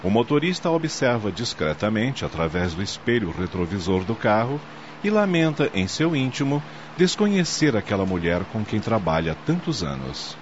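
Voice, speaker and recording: A man's voice: on the low side, very animated, normal speed. A man delivering scripted, dramatic narration for a radio soap opera. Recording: dull noisy audio with ambience.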